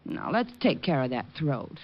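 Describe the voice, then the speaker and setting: A woman's voice: low-pitched, sing-song, normal speed, over music. An actress playing a teasing, affectionate woman with an American accent in an old-time radio drama. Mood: amused, fond. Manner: playful, mock-dramatic. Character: teasing, affectionate sweetheart of a singing detective. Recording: muffled old radio broadcast, faint noise.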